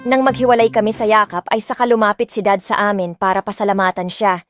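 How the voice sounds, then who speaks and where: A woman's voice: mid-range voice, little pitch movement, fast talker, no echo, studio quality, natural voice. A young woman playing a lovestruck heiress, narrating her own romance story in an emotive, dramatic way. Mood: tender. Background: music.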